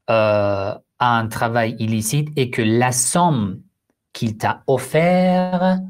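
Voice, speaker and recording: A man hosting a live online Q&A on religion, explaining slowly and deliberately, with frequent hesitant fillers. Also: medium pitch. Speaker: Islamic Q&A host. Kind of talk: live online Q&A answering listeners.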